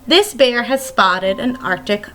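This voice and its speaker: High-voiced woman teacher reading aloud. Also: animated, slow, sharp tone, faint music behind. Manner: addresses young children directly, explains simply. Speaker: elementary school teacher. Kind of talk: read-aloud of a children's nonfiction book.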